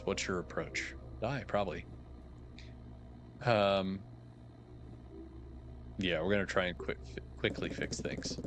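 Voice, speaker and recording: A slightly hoarse man with a very low voice chats casually while streaming a game. He thinks aloud and drops wry asides, with background music running behind him. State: a little hoarse. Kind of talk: casual live-stream commentary.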